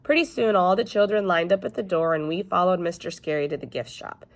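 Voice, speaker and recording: A woman reading a children's book aloud in an expressive, emphatic storytelling style. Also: fairly low pitch, fairly quick. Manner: animated, emphatic.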